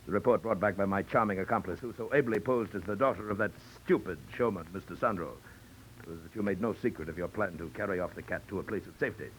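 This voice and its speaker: Low-pitched male actor as titled big-game hunter villain: grandiloquent, silkily menacing, vintage radio drama with noisy old sound. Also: fast talker, lightly acted. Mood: pompous. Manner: florid, grandiloquent, mock-courteous. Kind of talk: 1940s radio drama acting.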